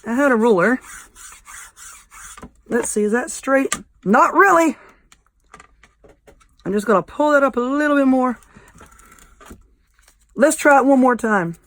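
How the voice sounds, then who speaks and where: A woman with a Southern American accent and a slightly hoarse, croaky, squeaky voice, hosting a casual craft tutorial in a folksy, informal way. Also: lively intonation, unhurried, pauses often, a bit bright. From southern United States. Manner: folksy, informal, self-deprecating. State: voice a little hoarse. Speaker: craft video host.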